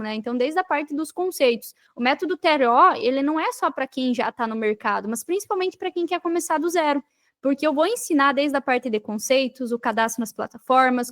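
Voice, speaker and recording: A Brazilian woman with a clearly high-pitched voice speaks as a podcast guest. She explains and advises conversationally, stressing her points emphatically and repeating phrases to drive them home. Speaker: podcast guest, digital marketing mentor.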